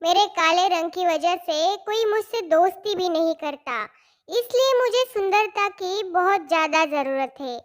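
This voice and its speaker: A woman voicing a humble, kind-hearted girl in a storybook cartoon, with a soft, earnest manner. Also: very high voice, expressive, a little slow. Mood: sad, resolved.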